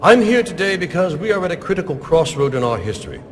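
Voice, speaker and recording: An actor playing a smooth, evasive corporate scientist in a game cutscene. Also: male voice, on the high side, big pitch swings, fast talker, a little hiss or hum. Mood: speechmaking. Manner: polished, dismissive, controlled. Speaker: company scientist giving speeches.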